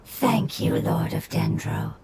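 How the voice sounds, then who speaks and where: A woman's voice: slow, very noisy, close to an everyday voice. A woman voicing a solemn, loyal creature who speaks for its kin in a game, formal and earnest. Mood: grateful.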